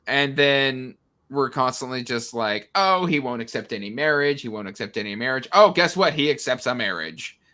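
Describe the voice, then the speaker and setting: A man's voice: medium pitch, expressive, moderate pace, bright, forceful tone. A man chatting casually as a panelist in a book discussion, animatedly acting out characters' lines in a mocking way. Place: indoors on a livestream video call.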